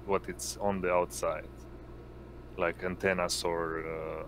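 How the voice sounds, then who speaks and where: Deep-voiced, slow-paced male game master. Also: flat delivery, fairly bright tone, a little hiss or hum. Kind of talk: narrating an online tabletop roleplaying game. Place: indoors on an online voice call.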